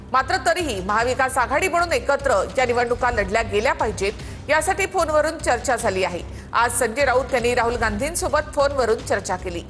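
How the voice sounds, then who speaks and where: A woman's voice: sits high, fairly bright tone, in a large hall. A woman anchors live TV news, presenting reports and putting questions to a correspondent.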